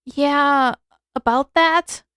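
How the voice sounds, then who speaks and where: A woman plays an earnest young teenage boy in an audiobook tale. The voice is pitched high and sounds puzzled and questioning, recorded close and dry. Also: steady tone, very slow, fairly bright tone, dry recording, exaggerated voice. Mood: puzzled, sheepish. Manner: calling out, questioning. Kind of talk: audiobook character acting.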